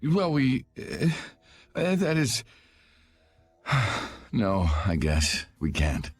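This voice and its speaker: A man voicing a polite, anxious, flustered father in a video game, speaking haltingly. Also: medium pitch, wildly swooping pitch, heavily acted. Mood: worried. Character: grateful, flustered father stranded in desert. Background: background music.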